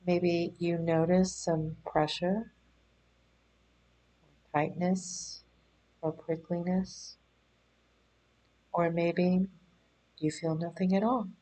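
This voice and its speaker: Slow, mellow-voiced woman guiding meditation. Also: lowish voice, steady tone, low-quality recording. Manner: gentle, unhurried, soothing instruction with pauses. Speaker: meditation guide. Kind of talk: guided body scan meditation.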